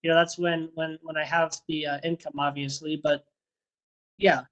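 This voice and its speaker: A man's voice: on the high side, flat delivery, normal speed, a bit bright, lo-fi sound. A man answering interview questions casually, friendly and rambling, repeating and restarting his words, talking over a video call. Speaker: interviewee, first responder and dog handler.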